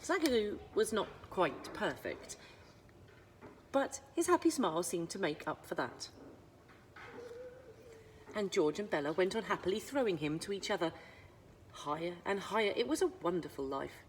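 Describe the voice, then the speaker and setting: Woman storyteller, lower-pitched, lively intonation and dramatic emphasis, children's book narration, slight background noise. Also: normal speed, pauses often. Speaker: school librarian reading aloud.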